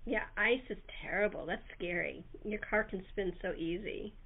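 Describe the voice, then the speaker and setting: A woman's voice: mid-range voice, normal speed, gravelly. A woman hosting a show, chatting casually as she interviews a guest over a narrow phone-like connection. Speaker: talk show host. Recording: tinny, band-limited phone line.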